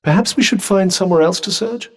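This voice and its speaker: A man's voice: sits high, fast talker, vocal fry, breathy edge, big-room sound, exaggerated voice. A man with a British accent playing a sardonic, pompous magical talking vambrace in a video game, speaking in formal, wordy phrases with dry wit. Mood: dry, urging. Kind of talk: video game character dialogue.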